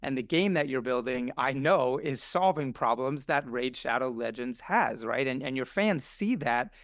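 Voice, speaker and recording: A man with a mid-pitched voice in casual podcast conversation, recorded close and dry. He speaks as an enthusiastic guest pitching his work, often tagging his points with a quick check for agreement. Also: animated, a bit bright, no room sound. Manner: enthusiastic, punctuates points with "right?". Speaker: guest, game developer pitching a project.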